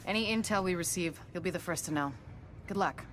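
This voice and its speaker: A woman's voice: on the low side, a little fast, lightly acted. A woman acting as a determined, weary government field agent in a video game, earnest and resolute. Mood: businesslike. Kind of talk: dramatic game cutscene acting. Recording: clear background ambient noise. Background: ambient noise.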